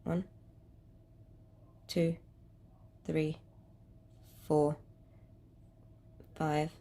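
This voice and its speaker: A British woman with a slightly low voice, thick with a head cold, hosts an informal craft tutorial. She talks in a hesitant, rambling and self-deprecating way, explaining each step as she goes. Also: little pitch movement, extremely slow, mellow. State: congested with a cold. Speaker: crafting channel host.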